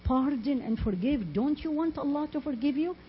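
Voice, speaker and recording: A woman's voice: high-pitched, expressive, moderate pace, faint music behind. A woman from Iraq gives an Islamic talk as a preacher, telling stories earnestly and emphatically with repeated phrases and rhetorical questions. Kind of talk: religious talk with storytelling. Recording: muffled lo-fi audio with faint noise.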